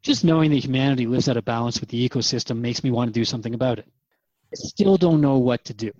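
A man's voice: mid-range voice, quick pace, a little mellow, no echo, dull audio, natural voice. A man playing an earnest, inquisitive human seeker in a radio drama, talking conversationally and a little hesitantly. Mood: earnest, reflective. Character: earnest, questioning human man seeking hope for planet.

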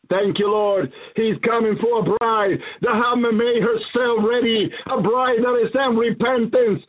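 A man delivers a fervent prophetic sermon in a strikingly high voice, repeating each phrase and dropping hallelujahs between them. The sound is narrow and tinny, like a broadcast heard over a phone line. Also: fairly even intonation, a little slow. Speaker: preacher.

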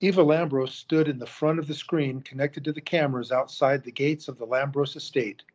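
Guy narrating an audio drama, husky voice, dull lo-fi sound. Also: medium pitch, brisk. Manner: steady storytelling. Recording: dull lo-fi recording.

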